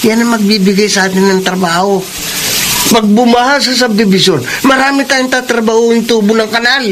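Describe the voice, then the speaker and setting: Actor as a brash drain worker, high male voice, exclamatory radio acting, noisy background. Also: fairly even intonation, normal speed, lightly acted. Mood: dismissive. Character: poor working father, brash, stubborn drain labourer. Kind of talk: Filipino radio drama acting.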